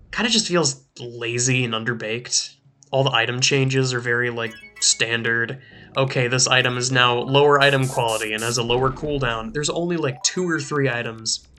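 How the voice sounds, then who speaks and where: A young man doing casual gameplay commentary in a rambling, filler-heavy way, his voice a little rough from a recent sore throat. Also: mid-range voice, pushed and bright. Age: young adult. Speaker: let's play host. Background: faint game music.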